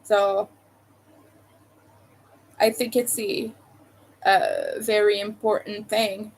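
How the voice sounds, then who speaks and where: A woman's voice: medium pitch, big pitch swings, very slow, gravelly, low-quality recording. A young adult woman with a Montreal accent, chatting loosely with viewers on her live stream, rambling and hesitant, with many fillers. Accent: Montreal Quebec. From Quebec, Canada.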